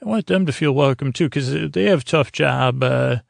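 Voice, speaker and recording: A man's voice, heavily creaky and gravelly at a middling pitch, recorded dry with almost no room sound. He hosts a bedtime show in a droning, roundabout way, stumbling over words and circling back as he goes. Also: animated, no echo. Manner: droning, halting, circling back with stammered repeats. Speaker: podcast host. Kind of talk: rambling, meandering bedtime monologue meant to lull listeners to sleep.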